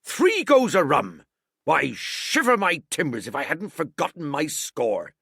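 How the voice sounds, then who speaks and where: A hearty old one-legged cook, as a man puts him on, blustering. His voice swoops wildly and grinds with gravel. The sound is dry and close, with a bright, husky edge. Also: sing-song, a little slow, no echo, full character voice. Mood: confiding. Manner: blustering, booming sea-dog bluster.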